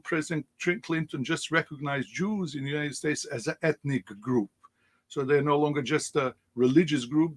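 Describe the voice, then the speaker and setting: Halting Bosnian-accented man giving a talk. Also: on the high side, fairly bright tone. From Sandžak, former Yugoslavia. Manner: halting, many fillers and restarts, rhetorical "right?". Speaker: guest speaker. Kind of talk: online presentation with shared slides. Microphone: video call.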